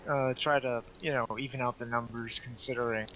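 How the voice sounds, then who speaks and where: A young man in casual gameplay chat as a podcast co-host, speaking in a halting way. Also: medium pitch, little pitch movement, sharp tone, slight background noise, low-quality recording. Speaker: podcast co-host playing a strategy game.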